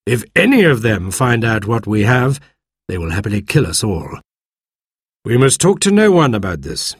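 A man's voice: fairly low pitch, sing-song, normal speed, slightly rough, no echo, clean studio sound. Man voicing a serious careful old doctor. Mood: stern.

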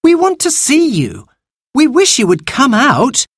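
An eager young storybook girl as a narrator puts her on, caring and excitable. Her voice leaps very high and swings widely, with a breathy edge. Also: a little slow, no echo, clean studio sound, heavily acted. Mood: pleading, soothing.

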